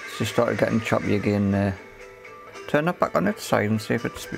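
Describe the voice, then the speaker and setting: A man with a fairly low voice and noticeable fry in it. He talks like a casual repair video presenter, explaining things in a matter-of-fact way and pausing to find his words. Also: lively intonation, over music.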